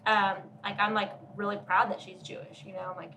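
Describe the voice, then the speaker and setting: A woman's voice: mid-range voice, flat delivery, a little fast, pushed and bright, recorded from a distance, a little hiss or hum, low-quality recording. A woman co-hosting a podcast, talking in a candid, chatty way and laughing easily. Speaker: podcast co-host. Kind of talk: casual conversation.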